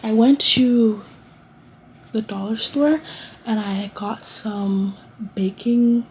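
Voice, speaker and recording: A woman in her late teens talks to camera from her college dorm room, chatty and rambling, often restarting her thoughts. Her voice is soft and mellow, with a faint hiss underneath. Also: on the high side, fairly even intonation, slow, rough recording. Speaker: vlogger. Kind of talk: casual chat to camera.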